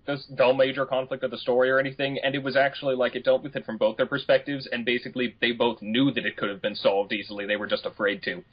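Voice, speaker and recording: A man's voice: mid-range voice, fairly even intonation, fast, hardly any pauses, slightly rough. A man co-hosting a casual podcast chat, rambling and easygoing as he gives his opinions. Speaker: podcast co-host. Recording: dull, lo-fi podcast audio.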